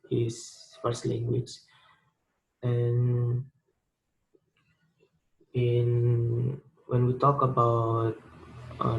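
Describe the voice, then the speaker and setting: A man's voice: medium pitch, vocal fry, rough recording. A young man with an Indonesian accent, a student giving a spoken class presentation, hesitant, with many fillers and restarts. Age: young adult.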